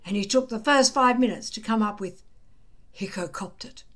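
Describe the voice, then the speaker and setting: A woman reading a children's story aloud expressively, with dramatic, deliberate emphasis. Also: a little high. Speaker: storybook reader.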